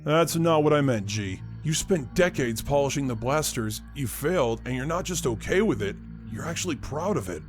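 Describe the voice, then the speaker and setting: An actor playing a casual, caring skeleton in a comic dub, talking informally and candidly. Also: male voice, medium pitch, lively intonation, normal speed, hardly any pauses, over music, natural voice. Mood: puzzled, challenging. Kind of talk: fan comic dub voice acting.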